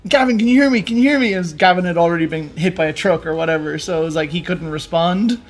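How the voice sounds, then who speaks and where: A man's voice: fairly high pitch, fast. A man co-hosting a podcast, chatting casually and telling stories in an informal, enthusiastic way. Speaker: podcast co-host. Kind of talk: casual chat about games.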